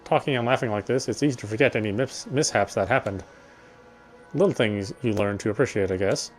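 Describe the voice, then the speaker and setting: A man's voice: on the low side, a little fast, faint music behind. Man reading game narration aloud. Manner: unpolished read with stumbles and corrections.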